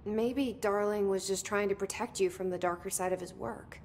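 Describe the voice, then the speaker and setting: Woman acting young agency director in a game, candid n determined, medium pitch, bit of rumble behind her. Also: fairly even intonation, normal speed, a little mellow, lightly acted. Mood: probing. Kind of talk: naturalistic video game acting.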